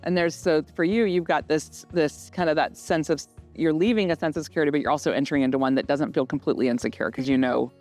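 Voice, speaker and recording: A woman hosting a school podcast, speaking conversationally and asking students open questions with gentle follow-ups. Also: sits low, fast talker, hardly any pauses, faint music behind.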